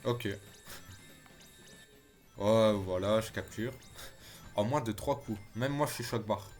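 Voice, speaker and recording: Excitable low-voiced male gamer streamer. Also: takes it slowly, soft background music. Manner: excitable, chatty, addresses chat as "les gars"; swears when frustrated. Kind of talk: casual gameplay commentary.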